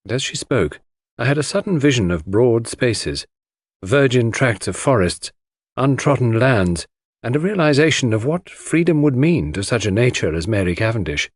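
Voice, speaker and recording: A man's voice: medium pitch, sing-song, normal speed, no room sound, clean studio sound. A man giving a dramatic scripted narration of a classic mystery audiobook.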